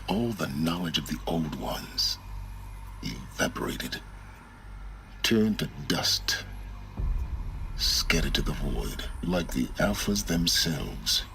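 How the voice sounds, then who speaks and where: Sardonic, bitter scholar: very low, forceful voice, theatrical and eloquent, game dialogue with faint ambience. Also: male voice, big pitch swings, slow, creaky, a little hiss or hum, exaggerated voice. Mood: bitter, brooding. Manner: eloquent, theatrical, dryly cutting. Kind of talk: dramatic video game acting.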